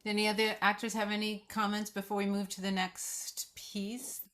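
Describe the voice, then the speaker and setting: A woman's voice: medium pitch, level tone, normal speed, a bit bright, slight background noise. A woman hosting a question-and-answer discussion, putting questions to participants and moving the session along. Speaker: host, moderator. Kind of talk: Q&A moderation.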